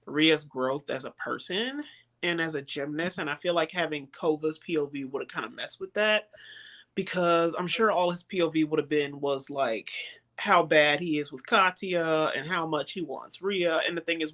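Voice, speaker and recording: A woman's voice: low voice, normal speed, fairly bright tone. Colloquial, emphatic woman in casual chat. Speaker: book readalong live show host.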